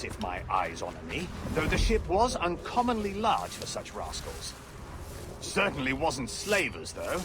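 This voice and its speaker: An actor playing a pompous, haughty merchant's agent in a video game, scolding in florid phrases. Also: male voice, on the high side.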